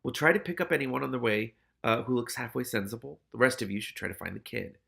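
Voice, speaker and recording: A man voicing a brisk, decisive male rat leader who gives curt orders in a storybook. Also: medium pitch, gravelly, bright, forceful tone, breathy edge, heavily acted. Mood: commanding.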